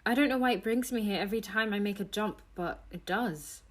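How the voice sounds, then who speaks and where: A woman playing a desperate, defiant young time traveller in an audio drama, speaking emotionally and haltingly. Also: medium pitch, close to an everyday voice. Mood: subdued, guarded.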